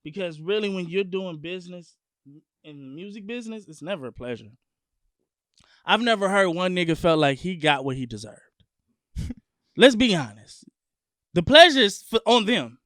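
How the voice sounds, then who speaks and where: A man from Long Beach, California, a guest music artist talking in an animated, profane, emphatic way in a casual sit-down interview. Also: sits high, moderate pace, long pauses, no echo, studio quality.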